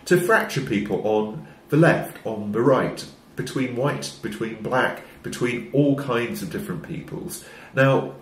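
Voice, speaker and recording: A man, who has a cold, giving a wry, measured opinion vlog to camera in a small room. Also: medium pitch, echoey room. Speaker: commentator. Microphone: wired-in microphone facing camera.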